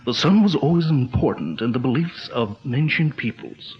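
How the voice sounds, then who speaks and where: A man plays a steady adventurer hero who leads with calm, measured authority and explains things clearly. His voice has a mid pitch and a dull, lo-fi old-radio sound. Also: natural voice. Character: steady male adventurer hero, commanding leader. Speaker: expedition leader. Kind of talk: 1940s radio drama acting.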